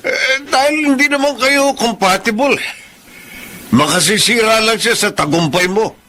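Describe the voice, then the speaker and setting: A man's voice: high-pitched, unhurried, bright, forceful tone. A man playing a stern, calculating older father and law office boss in a Filipino radio drama. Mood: stern, scornful.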